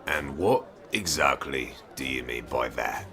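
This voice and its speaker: Guy doing a nasty gruff villager thug, deep and really sharp, menacing, bit of music behind. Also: lively intonation, unhurried, heavily acted. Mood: menacing anger. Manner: gruff, foul-mouthed, menacing. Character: surly, bitter, crude male village thug.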